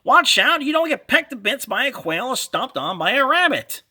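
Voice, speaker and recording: A man's voice: very high-pitched, sing-song, moderate pace, pushed and bright, voice pushed up, full character voice. Man putting on a screechy, crafty old witch. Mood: fierce warning. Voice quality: screechy, cackling. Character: crafty cackling old witch woman, fierce but kindly.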